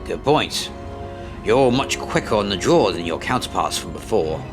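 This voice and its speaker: Sci-fi drama phantom, male, actor-played: taunting, sly, very low voice over clear music bed. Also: animated, normal speed, natural voice. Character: mocking illusion posing as a dead lieutenant. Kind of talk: science fiction audio drama acting.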